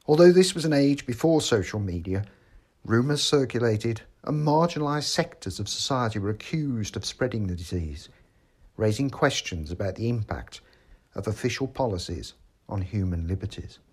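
A man reads a scripted essay in a measured, formal way. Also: lowish voice, expressive, darkish tone, husky. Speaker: article reader. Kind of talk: scripted narration, read essay.

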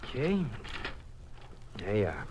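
Deep laconic rig hand. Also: male voice, wildly swooping pitch, slow pace, a little mellow, full character voice. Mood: laconic, offhand. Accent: American. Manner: terse, offhand. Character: laconic, noncommittal oil rig hired hand. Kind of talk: 1950s American radio crime drama acting. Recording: hissy old radio recording.

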